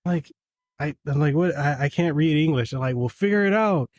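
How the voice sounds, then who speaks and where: A man's voice: fairly high pitch, a little fast, dry recording, clean studio sound. Samoan-born man telling a story. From Western Samoa. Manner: animated, acts out family dialogue. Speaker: guest. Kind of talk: casual storytelling.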